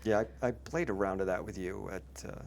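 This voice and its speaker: A man's voice: low-pitched, fairly quick, vocal fry, darkish tone. A man talking casually in an unscripted group conversation. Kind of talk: casual chat.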